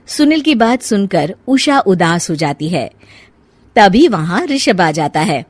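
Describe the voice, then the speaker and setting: A woman's voice: medium pitch, sing-song, takes it slowly, husky, no echo. A woman narrating a moral story from a script as storyteller.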